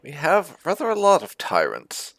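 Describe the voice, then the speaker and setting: A man's voice: fairly high pitch, huge pitch swings, normal speed, fairly bright tone, no room sound. A man giving casual in-character roleplay commentary on a video game, musing wryly with muttered asides. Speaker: gaming channel host.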